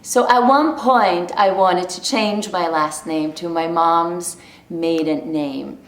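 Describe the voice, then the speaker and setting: A woman from Norway talks straight to camera in a relaxed vlog, telling her story slowly and with plenty of laughs. Her voice sits a little low, and the room gives it a noticeable echo. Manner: chatty, animated storytelling with laughs. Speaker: vlogger. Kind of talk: casual talk to camera.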